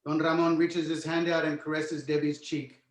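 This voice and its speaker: A man's voice: fairly high pitch, level tone, normal speed. A man narrating a screenplay, reading out scene headings and stage directions from the script. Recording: roomy, echoing sound.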